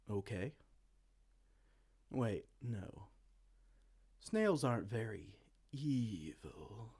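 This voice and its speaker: A man's voice: mid-range voice, a little mellow, husky. A man playing a dorky, petulant evil king in a comedic fantasy roleplay, pompous, theatrical and exasperated. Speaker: amateur roleplay audio performer.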